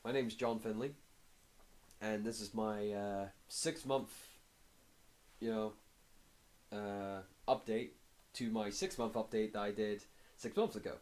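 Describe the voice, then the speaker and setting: Hoarse guy w a cold doing a slow halting vlog update, lots of uhs. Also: lowish voice, steady tone, a little mellow. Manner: halting, many filler pauses. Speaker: fitness vlogger. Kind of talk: casual progress update to camera.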